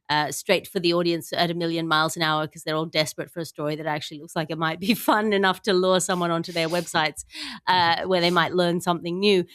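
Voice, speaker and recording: A woman's voice: on the low side, fairly bright tone, dry recording, studio quality. A woman hosting a comedy podcast, wry and articulate, mixing banter with scripted bits. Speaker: podcast host.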